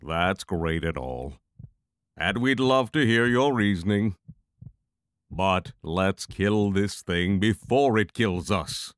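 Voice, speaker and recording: A pragmatic male mage in a fantasy audio drama, voiced by a man. He talks wryly in a fairly low voice with lively inflection. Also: slow pace, no room sound. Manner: dry, wry, reasoning aloud. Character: male lightning mage, wry, pragmatic adventurer.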